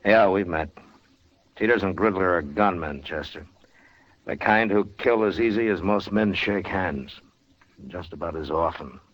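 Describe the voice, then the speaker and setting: Low voiced old west marshal, dry and steady, old muffled radio show. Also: male voice, unhurried. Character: steady, wry frontier US marshal, grown man. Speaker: actor in a radio western. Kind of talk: 1950s radio drama acting.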